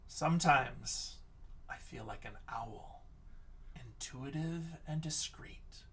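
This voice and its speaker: A man teacher reading a picture book aloud and chatting to children, expressive, often dropping into hushed whispers. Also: medium pitch, slow pace, recorded from a distance.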